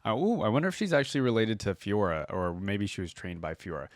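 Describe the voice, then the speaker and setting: A man's voice: fairly low pitch, sing-song, quick pace, no room sound, clean studio sound. A man giving casual, enthusiastic reaction commentary as a video host, often musing aloud.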